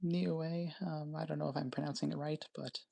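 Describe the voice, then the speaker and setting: A woman from Canada on a casual live stream, naming items one at a time in short, unhurried phrases. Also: low-pitched, steady tone, gravelly, mellow.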